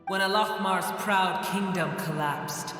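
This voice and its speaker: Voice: mid-range voice, fairly even intonation, unhurried, sharp tone. An actor playing an ancient, proud dragon in a video game, speaking in a solemn, grandiose and brooding manner. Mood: grim, resigned. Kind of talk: scripted video game voice acting. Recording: cavernous echo, long reverb, music. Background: game music.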